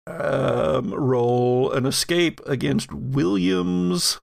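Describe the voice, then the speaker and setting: Slow, gravelly male game master. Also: mid-range voice, crowd noise. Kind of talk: improvised narration of a horror tabletop game.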